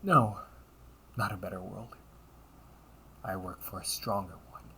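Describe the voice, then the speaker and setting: A man voicing a cunning, condescending villain and older brother in an audio roleplay, taunting and theatrical, often dropping toward breathy near-whispers. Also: low voice, unhurried, pushed and bright, slight background noise, heavily acted. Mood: cold, self-righteous. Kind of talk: audio roleplay monologue addressed to the listener.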